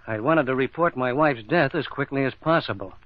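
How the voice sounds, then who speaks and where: An actor playing a cold, smooth-talking middle-aged husband with murderous intent in an old-time radio mystery, speaking in a measured, formal way. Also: male voice, medium pitch, natural voice. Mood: composed, evasive. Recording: dull lo-fi old radio recording.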